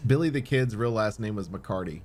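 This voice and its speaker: A man's voice: on the low side, animated, brisk, crowd noise. A man co-hosting a podcast, chatting casually and bluntly about gaming. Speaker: podcast co-host. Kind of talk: casual gaming news chat.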